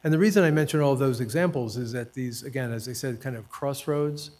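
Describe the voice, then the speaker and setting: Man, 70s, American author and professor; reflective panel storytelling, dry self-deprecating humor, hesitant pauses. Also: mid-range voice, a little mellow. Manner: hesitant fillers and restarts.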